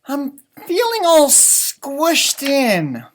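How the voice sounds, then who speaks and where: A dreamy, discontented rabbit from a children's storybook, played by a man reading aloud. He speaks in slow, drawn-out phrases, raised in pitch and full of dramatic swoops. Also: wildly swooping pitch, a little hiss or hum, heavily acted. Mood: sighing, cramped.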